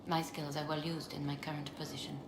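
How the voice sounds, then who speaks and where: A woman's voice: deep voice, little pitch movement, fairly quick, echoey room, noisy background. An actress playing a detached, dutiful woman researcher in a video game, speaking formally and without emotion. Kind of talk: scripted video game dialogue.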